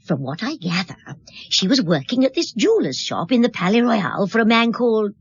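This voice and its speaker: Breathy, fluttering spinster neighbour. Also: female voice, on the high side, big pitch swings, a little fast, dry recording, highs cut off, heavily acted. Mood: hesitant, gossipy. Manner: hesitant, fluttery, gossipy. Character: fussy, eager-to-please spinster neighbour living alone. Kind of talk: radio drama acting.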